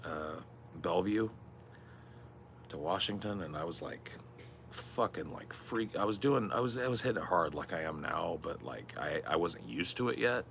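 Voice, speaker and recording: A young man's voice, deep and heavily gravelly from straining his vocal cords, with a bright, forceful edge. He rambles casually and swears freely. Faint noise sits underneath. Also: expressive, moderate pace. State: strained, raspy voice. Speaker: streamer. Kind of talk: casual chat.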